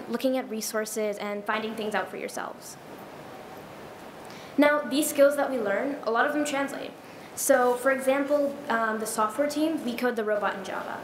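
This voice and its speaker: A teenage girl, her team's software lead, presenting to a school board in an upbeat way, listing points with some fillers. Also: fairly high pitch. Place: school library meeting room, indoors. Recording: echoey room with clear background noise.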